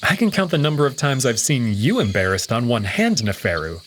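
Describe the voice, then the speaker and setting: A man plays a curious young man who talks in a relaxed, everyday way, firing off plain questions. His voice sits mid-range and moves with lively swings of pitch. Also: a little fast, slight background noise.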